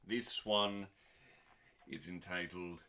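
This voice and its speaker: A man hosting a dramatic scripted reading in a deliberate, theatrical manner with pauses and dry, deadpan delivery. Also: low-pitched, a little hiss or hum. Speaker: host.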